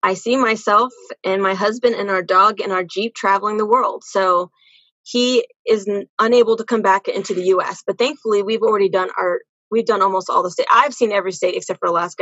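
A woman's voice: medium pitch, steady tone, quick pace, a bit bright, slightly rough, dry recording, dull audio. A woman giving casual, earnest answers as a podcast guest, often repeating phrases for emphasis. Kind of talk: casual interview conversation.

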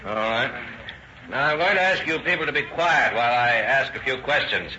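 An actor playing a methodical, authoritative police lieutenant asking probing questions in an old radio drama. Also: male voice, mid-range voice, expressive, moderate pace, crowd noise, natural voice. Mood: businesslike. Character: brisk, methodical male police lieutenant detective. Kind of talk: 1930s radio drama acting. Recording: dull, hissy old-time radio recording.

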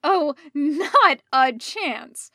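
A woman's voice: lively intonation, drawn-out words, bright, forceful tone, dry recording, clean studio sound, exaggerated voice. A woman voicing a sarcastic, easily flustered young male Jedi who babbles when nervous. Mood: indignant. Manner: babbling, sarcastic and high-strung.

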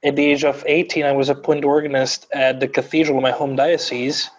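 A man's voice: mid-range voice, little pitch movement, brisk, lo-fi sound. A man in his twenties from Croatia, a native Croatian speaker, telling his story earnestly as a guest in a podcast interview. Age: 20s. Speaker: guest organist.

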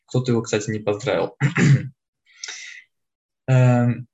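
A man's voice: medium pitch, steady tone, takes it slowly, creaky, darkish tone, lo-fi sound. Eighteen-year-old guy chatting casually. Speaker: podcast co-host. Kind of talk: casual podcast chat.

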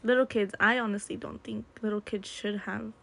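A woman's voice: medium pitch, fairly even intonation, brisk, gravelly, fairly bright tone, a little hiss or hum, rough recording. A woman whose first language is Spanish, a guest chatting casually with frequent laughs and "like". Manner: chatty, giggly, lots of "like". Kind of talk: casual chat.

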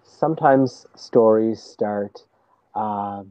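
A man telling stories as a guest, talking in a hesitant, halting way with many restarts, seated at home on a video call. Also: on the low side, dry recording, muffled recording. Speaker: storyteller guest. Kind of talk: casual conversation.

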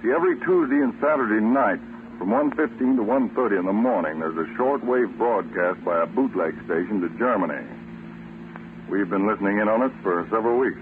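An actor playing a calm, authoritative American counterintelligence chief in a 1940s radio drama, measured and assured. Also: male voice, lowish voice, natural voice. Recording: tinny, noisy old radio recording.

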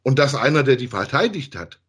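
A man's voice: mid-range voice, sing-song, fairly quick, a bit bright, breathy edge. A man co-hosting a podcast in casual discussion, giving his opinions in an emphatic, colloquial way and often seeking agreement. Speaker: podcast co-host reviewing audio dramas.

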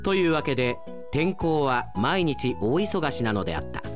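A man's voice: medium pitch, very animated, moderate pace, lightly acted. Man as dramatic radio drama narrator over music. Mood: measured, dramatic. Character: storytelling narrator of a whimsical daytime radio drama.

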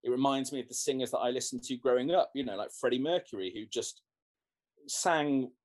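A man at an ordinary middle pitch talks through his thoughts as a musician being interviewed. He rambles, restarting sentences and leaning on fillers as he goes. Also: fairly quick, a little mellow. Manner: rambling, many restarts and "you know"s. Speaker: guest musician. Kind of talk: casual interview chat.

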